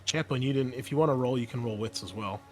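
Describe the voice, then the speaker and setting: A man running a tabletop game as game master, explaining and narrating casually in a halting, conversational way. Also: mid-range voice, soft background music.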